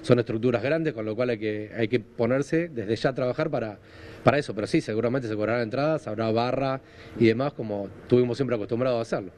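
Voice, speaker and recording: A man in his forties with an Argentine accent, explaining things in a measured, formal way in a live news interview. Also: medium pitch, darkish tone. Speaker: event hall business representative, interviewee. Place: on location at an event hall. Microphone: handheld microphone, through a face mask. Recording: faint background noise and ambience.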